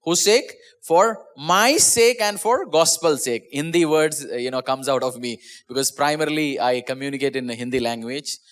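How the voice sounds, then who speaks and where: A man's voice: normal speed, hardly any pauses, fairly bright tone. A man who is a pastor, with an Indian English accent and Malayalam as his first language, preaching an online sermon in an earnest way, often repeating and restarting words. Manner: earnest, repeats and restarts words, drops pitch at phrase ends. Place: small church.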